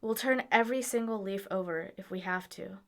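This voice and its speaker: A woman's voice: mid-range voice, a little fast, vocal fry, lightly acted. A woman acting in an audio drama as a blunt, pragmatic young traveler, frank and informal, exasperated but caring. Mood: firm, reasoning.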